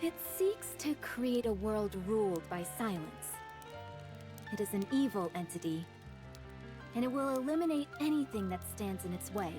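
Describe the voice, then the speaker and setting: A woman's voice: medium pitch, expressive, normal speed, over music, lightly acted. A woman playing a loyal, self-dramatizing young warrior who speaks formally and solemnly in a game dub. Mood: ominous, dramatic. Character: grandiose, loyal young woman warrior with dark-fantasy delusions. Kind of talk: English game dub voice acting.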